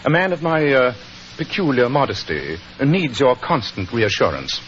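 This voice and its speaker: An actor playing a cool, self-assured British detective, measured, precise and drily witty, in 1940s radio style. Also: male voice, medium pitch, big pitch swings, natural voice. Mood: musing wry. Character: cool, self-assured male English detective, incisive and wry. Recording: muffled, hissy 1940s radio recording.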